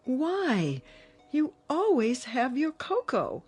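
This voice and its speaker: A woman's voice: sits high, huge pitch swings, takes it slowly, darkish tone, slightly rough, full character voice. An actress playing a fussing, smothering middle-aged mother in a radio drama, fretful, coaxing and insistent. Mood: concerned, coaxing. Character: fussing, smothering, anxious mother of grown children. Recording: music bed under the dialogue.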